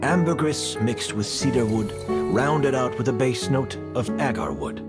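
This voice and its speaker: An actor playing a grave, measured master perfumer with deep feeling in a game cutscene. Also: male voice, expressive, natural voice. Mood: calm, instructive. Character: grave male master perfumer, intense, grieving, instructive. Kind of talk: dramatic game cutscene dialogue. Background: background music.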